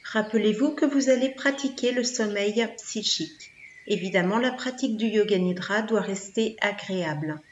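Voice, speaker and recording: Female meditation guide, medium pitch, calm measured instructions with pauses, reverberant room with noticeable background noise. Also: highs cut off. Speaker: yoga nidra instructor.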